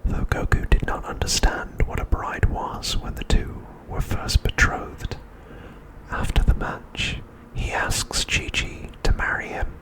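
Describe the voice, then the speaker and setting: A man whispering a scripted recap as ASMR narration, softly and with deliberate pauses, close to the microphone. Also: rough recording.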